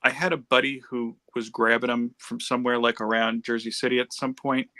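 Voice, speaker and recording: A man with a mid-pitched, bright and forceful voice chats as a livestream panelist. He talks casually and tends to ramble into side stories. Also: flat delivery, fairly quick, dry recording. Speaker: panelist on a comics discussion stream. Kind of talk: casual chat. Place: remote group livestream.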